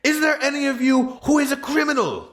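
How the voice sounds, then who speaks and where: A man's voice: very high voice, expressive, a little fast, fairly bright tone, voice pushed up. A man voicing a cheerful, naive, impulsive winged monster in an exclaiming, childishly enthusiastic storybook manner. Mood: gleeful, naive.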